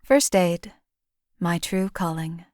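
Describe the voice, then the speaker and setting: A woman's voice: on the low side, slow pace, a little mellow, no echo. A woman playing a composed female assassin for hire in a video game, speaking in a dry, matter-of-fact way. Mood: dry, understated. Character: cool, lethal female assassin companion for hire. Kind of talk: video game companion voice lines.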